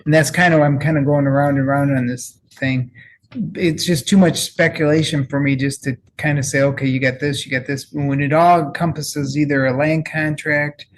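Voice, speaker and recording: A man's voice: on the high side, fairly even intonation, normal speed, a little hiss or hum. A man serving as judge, thinking aloud and speaking plainly from the bench. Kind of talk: courtroom ruling.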